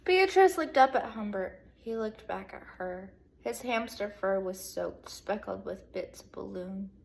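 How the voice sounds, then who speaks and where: A woman teacher reading a storybook aloud to children in an animated way, pausing to put questions to her young listeners. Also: medium pitch, creaky. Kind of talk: expressive children's read-aloud, speaking to young pupils.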